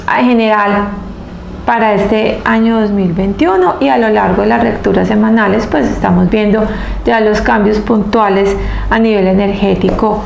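A woman in her thirties with a heavily creaky voice gives a spiritual tarot reading. She speaks earnestly, in short emphatic phrases with pauses between them. The room is echoey, with faint noise behind her. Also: mid-range voice, steady tone, a little mellow. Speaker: tarot reader and host. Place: small indoor room, seated at table. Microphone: clip-on lapel mic. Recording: roomy echo with faint background noise.

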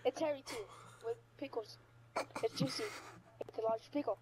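A woman making an improvised prank call in a deadpan, repetitive way over online voice chat. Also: medium pitch, moderate pace, background noise. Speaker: prank caller.

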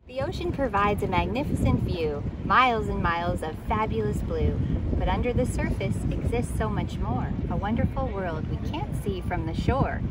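A middle-aged woman reads a children's book aloud as a storytime host, with a clear, measured storytelling delivery. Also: medium pitch, expressive, heavy background noise. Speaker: children's author and librarian hosting a storytime.

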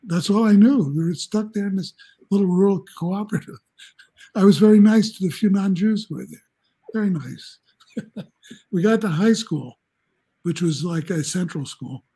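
Husky-voiced man in his seventies. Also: sits high, moderate pace, warm tone. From New Jersey, United States. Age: 70s. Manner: rambling, anecdotal, chuckling, with frequent restarts. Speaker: guest, journalism professor. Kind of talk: casual interview storytelling. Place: home room, indoors. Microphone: video call.

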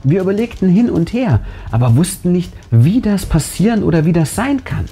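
A man's voice: high voice, very animated, brisk, dark tone. A man reading a scripted first-person horror story aloud in a dramatic, suspenseful way. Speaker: narrator and channel host. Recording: music bed behind the voice. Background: background music.

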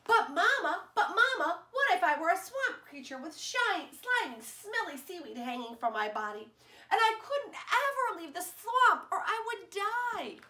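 An eager little child, as a woman reading a storybook puts the voice on, pressing one question after another. The voice sits high and bright and leaps around with excitement. It rings out in a roomy, echoing space. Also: unhurried, full character voice. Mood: eager pleading. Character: small child, eager and pleading. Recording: roomy echo.

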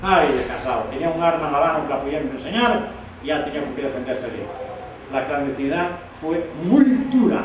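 A man's voice: on the high side, animated, a little fast. Older cuban guy, ex rebel soldier, stresses things twice, echoey room, hiss behind. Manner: emphatic, repeating for stress. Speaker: former rebel soldier interviewed. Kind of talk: recollecting in an interview. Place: living room, indoors. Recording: very echoey room, far mic, some hiss.

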